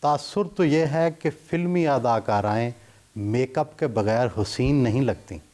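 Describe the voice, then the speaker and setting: A man asking formal interview questions and narrating in a measured way. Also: medium pitch, a little mellow. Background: music.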